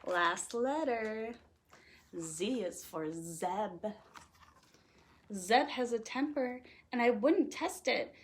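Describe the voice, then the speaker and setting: A woman reading a children's picture book aloud in an animated, playful way, leaning on the rhymes. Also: a little high, extremely slow, pauses often, slight background noise. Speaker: storytime host reading aloud.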